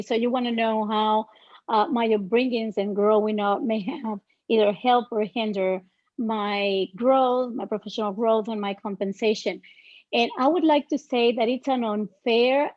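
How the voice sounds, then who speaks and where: High-voiced woman with a Dominican Spanish accent. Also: dry recording, lo-fi sound. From Dominican Republic. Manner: earnest, reflective, with frequent pauses and fillers. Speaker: guest. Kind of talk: podcast interview.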